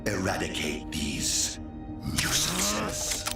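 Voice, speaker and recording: Deep, echoing alien supreme intelligence. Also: male voice, huge pitch swings, creaky, full character voice. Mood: imperious, scornful. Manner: grandiose, menacing, deliberate. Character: cold, imperious alien supreme intelligence ruler. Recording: cavernous echo, distant, loud music.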